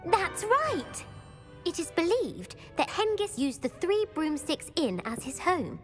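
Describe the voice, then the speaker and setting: A woman's voice: very high voice, very animated, takes it slowly, faint music behind, heavily acted. A woman playing an eager, bookish schoolgirl quizmaster in a video game, precise and enthusiastic. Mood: enthusiastic, instructive. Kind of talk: video game character acting.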